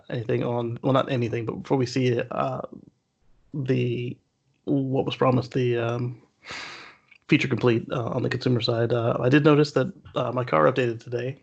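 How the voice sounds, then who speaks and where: A man co-hosting a casual news discussion in a halting way, sometimes chuckling as he talks. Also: mid-range voice, flat delivery, creaky, a little mellow, dull audio. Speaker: talk show co-host.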